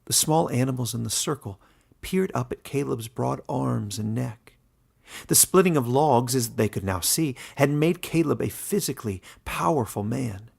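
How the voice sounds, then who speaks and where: A man reads fantasy fiction as a dramatic storyteller. His voice is very creaky and somewhat husky. He delivers the scripted narration expressively, with deliberate pauses. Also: mid-range voice, lively intonation, darkish tone.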